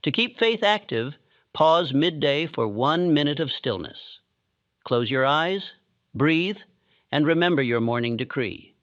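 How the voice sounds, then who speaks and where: A man with a slightly high voice narrates a self-help audiobook meant for falling asleep. His delivery is calm, solemn and evenly measured. The sound is close and dry, though somewhat dull and lo-fi. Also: no echo. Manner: calm, steady, measured rhythm.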